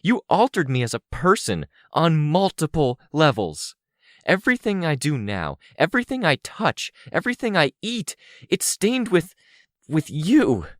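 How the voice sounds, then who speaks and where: A man's voice: on the high side, moderate pace, hardly any pauses, dry recording, clean studio sound, voice pushed up, close to an everyday voice. A man voicing a wounded, furious young heroine in an audiobook, with bitter, emphatic, clipped phrasing. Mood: angry, hurt. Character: wounded, furious young woman, intense first-person heroine.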